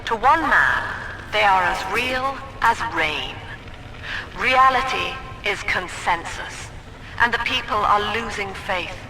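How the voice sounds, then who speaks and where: An actress voicing a calm, cold middle-aged woman psychiatrist who leads a cult in a video game, speaking in a measured, soft, controlled way. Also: mid-range voice. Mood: coldly gentle. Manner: measured, soft-spoken, controlled and coldly gentle. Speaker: game character. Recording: reverberant with music behind.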